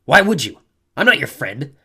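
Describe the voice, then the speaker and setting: A man's voice: mid-range voice, big pitch swings, fairly quick, a bit bright, pitched above the performer's own voice. A man voicing a tough, angry teenage warrior girl who growls and snaps defensively. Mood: furious. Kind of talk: audiobook character voice.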